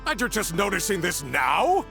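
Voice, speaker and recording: High, creaky, blustering boss. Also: male voice, huge pitch swings, normal speed, music in the background, full character voice. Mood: incredulous. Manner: agitated, demanding. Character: blustering, greedy boss clinging to a stolen prototype. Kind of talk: animated video game acting.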